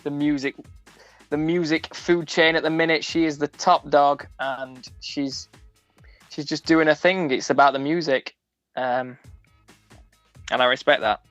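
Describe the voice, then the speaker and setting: A man's voice: on the high side, steady tone, unhurried, pauses often, a bit bright, dry recording. A young man from Sheffield with a broad Sheffield accent, a musician speaking frankly in a casual radio interview, often restarting his sentences. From Sheffield, England. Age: young adult. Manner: frank, many restarts and fillers. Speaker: musician guest. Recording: dull, dry sound with music under it.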